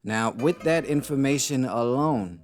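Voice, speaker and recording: A man's voice: mid-range voice, expressive, unhurried, music in the background. A man giving casual video commentary on a cartoon, wry and joking in a conversational way. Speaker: YouTube commentator.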